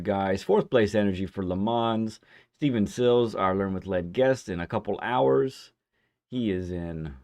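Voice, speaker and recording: A man hosting a casual live stream who greets viewers by name. His throat is hoarse and phlegmy from a lingering cough. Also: low-pitched. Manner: chatty, reads out viewer names warmly.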